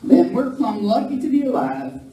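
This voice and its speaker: A woman's voice: a little high, sing-song, moderate pace, warm tone. A woman playing a wounded, feisty frontier ranch woman in a live Western radio play, with folksy rustic speech. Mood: indignant. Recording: echoey room from far off, noisy.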